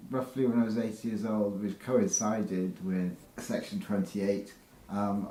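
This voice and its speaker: A man in his thirties with a low, mellow voice tells his story candidly and with a dry wit. The room is audible around him, and faint music sits underneath. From West Wales. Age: 30s. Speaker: interviewee. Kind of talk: personal recollection. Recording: roomy recording with faint music.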